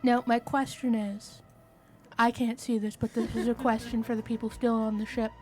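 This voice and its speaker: A woman's voice: on the high side, flat delivery, moderate pace, dark tone, soft background music. A woman chatting casually as a tabletop game player, asking questions and explaining what is happening.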